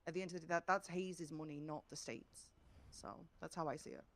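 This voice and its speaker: A woman's voice: low voice, steady tone, brisk, fairly bright tone. Woman playing earnest, insistent business manager. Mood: passionate, defensive. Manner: earnest, polite but insistent. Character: proud, hardworking female business manager. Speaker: role-player in an online game. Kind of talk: improvised in-character role-play conversation.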